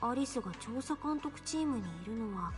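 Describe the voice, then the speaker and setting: Woman voicing a high, rapid young anime girl. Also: expressive, soft background music, natural voice. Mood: earnest, concerned. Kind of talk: Japanese anime game voice acting.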